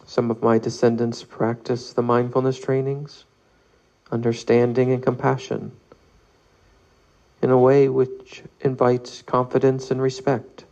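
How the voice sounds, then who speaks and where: A man guides a meditation in a mellow voice with a creaky edge. He reads slowly and calmly, leaving long pauses between measured phrases. The recording sounds a little muffled. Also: mid-range voice. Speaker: meditation leader. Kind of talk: guided meditation, read aloud.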